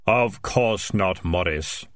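Male narrator as solemn grim reaper, very slow, measured, formal, dry, storybook character. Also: low voice, dry recording. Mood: grave, final.

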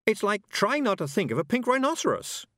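Anxious moaning witch woman, man voicing, pitched high. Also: sing-song, fairly quick, fairly bright tone, no room sound, studio quality, exaggerated voice. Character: fretful gentle woman witch.

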